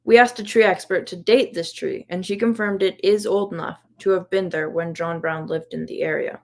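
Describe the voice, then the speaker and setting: A woman reads through a prepared, reflective slide presentation on her research. Her voice is a little lower than most women's. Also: fairly even intonation, normal speed. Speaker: volunteer history investigator presenting research.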